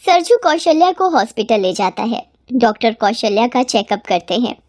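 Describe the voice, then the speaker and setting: A woman narrator reading a scripted tale. Her voice is fairly high, with a breathy, husky edge. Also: very animated, moderate pace, darkish tone, dry recording, studio quality.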